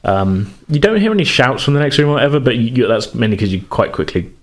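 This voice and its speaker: A man's voice: medium pitch, sing-song, fast talker. A man narrates as game master of a tabletop game, in a rambling, self-correcting manner.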